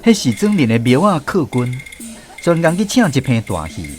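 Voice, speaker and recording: Animated Taiwanese man storytelling over music. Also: mid-range voice, moderate pace. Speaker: radio drama narrator. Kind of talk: scripted Taiwanese radio storytelling, narration. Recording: music bed under the voice. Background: background music.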